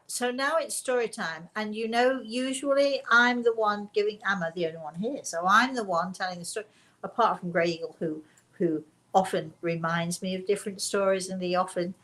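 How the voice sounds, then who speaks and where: A woman hosting a casual spiritual talk, speaking deliberately and repeating phrases for emphasis. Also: fairly low pitch, a bit bright, low-quality recording. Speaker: host and spiritual teacher.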